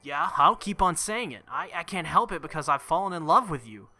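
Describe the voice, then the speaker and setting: A man acts out an earnest teenage boy hero from a visual novel, stubborn and lovestruck. He speaks insistently in a bright, forceful, slightly high voice over faint music. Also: animated, a little fast, exaggerated voice. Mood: passionate, insistent. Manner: insistent, heartfelt.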